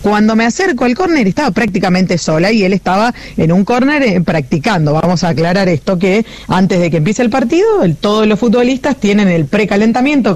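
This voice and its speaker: Chatty Argentine woman reporter. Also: mid-range voice. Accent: Argentine Spanish. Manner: chatty, laughs easily, animated storytelling. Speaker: field reporter. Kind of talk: casual live report.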